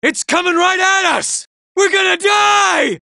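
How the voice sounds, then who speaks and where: A man voicing a nervous, boastful male robot soldier in a video game, shouting in panic and tossing out sarcastic asides. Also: extremely high pitch, lively intonation, measured pace, gravelly, no echo, exaggerated voice. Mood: panicked.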